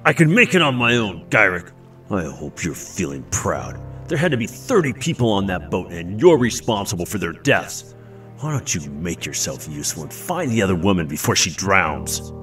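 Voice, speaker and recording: An actor playing a principled, earnest man with a husky voice in an audio drama. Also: low-pitched, very animated, moderate pace, fairly bright tone, faint music behind. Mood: bitter. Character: principled, earnest adult male inventor, indignant yet caring.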